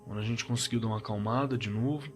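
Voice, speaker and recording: Actor as shaken Brazilian man, slightly husky voice. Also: fairly low pitch, steady tone, soft background music. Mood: agitated. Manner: halting, repeating words, colloquial. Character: shaken young man recounting a frightening cabin night. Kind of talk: dramatized first-person horror story, told conversationally.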